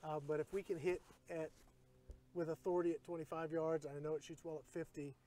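A middle-aged man hosting, explaining casually in relaxed talk at an outdoor shooting range, recorded on a camera mic outdoors. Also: a little high, fairly quick. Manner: easygoing, explanatory. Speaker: host.

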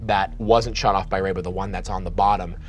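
Low-voiced man narrating a horror game. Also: fairly even intonation, a little fast, a little hiss or hum. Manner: vivid, descriptive narration with frequent restarts. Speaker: game master. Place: studio set with a game table.

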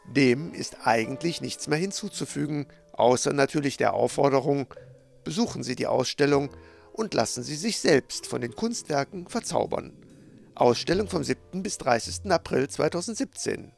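A man's voice: medium pitch, moderate pace, gravelly, faint music behind. A man reading a scripted news report voice-over about an exhibition. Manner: scripted, even.

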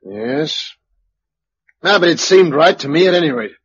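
An actor playing a humbled, worn-out former leader in a radio drama, rueful and plain-spoken. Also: male voice, on the high side, lively intonation, a little slow. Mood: rueful, defeated. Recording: dull, muffled radio recording.

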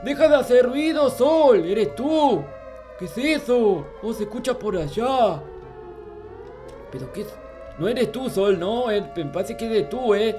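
A man's voice: very high voice, animated, a little slow, over music. A man voicing a childish, clueless, grumpy little game character, stammering and repeating himself in a Minecraft roleplay. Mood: indignant. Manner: babyish, stammering, repetitive, easily flustered. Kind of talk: improvised Minecraft roleplay narration.

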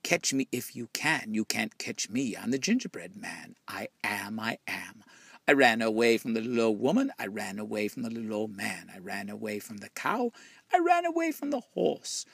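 A man's voice: lowish voice, big pitch swings, normal speed, creaky, fairly bright tone, slightly rough, no room sound, exaggerated voice. A man voicing a cheeky, boastful little gingerbread boy in a storybook, chanting taunts in a sing-song way. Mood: boastful, taunting.

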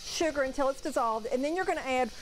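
A woman's voice: sits high, quick pace, slight background noise, rough recording. An older woman, a guest cook, walking through a recipe step by step in a homey way in a TV studio kitchen. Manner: homey, walks through steps with fillers. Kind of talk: cooking demonstration.

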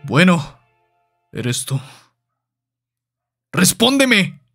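A man with a Latin American accent playing a wounded young superhero, a betrayed son, in a comic fandub, with halting dramatic delivery. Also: fairly high pitch, husky, soft background music, full character voice. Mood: angry, hurt. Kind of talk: Spanish-language comic fandub acting.

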